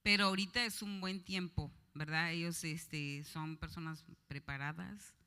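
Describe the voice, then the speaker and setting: Woman, bright forceful voice, chatty encouraging moderator, reverberant hall. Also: sits low, fairly even intonation, measured pace, smooth. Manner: chatty, encouraging, uses diminutives. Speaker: moderator of a question-and-answer session. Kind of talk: informal moderating to a live audience. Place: large hall at a conference.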